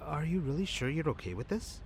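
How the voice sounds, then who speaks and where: A man playing a cocky, irreverent male agent who teases expressively in an audio drama. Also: fairly quick. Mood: incredulous. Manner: teasing, expressive. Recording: faint rumbling background noise.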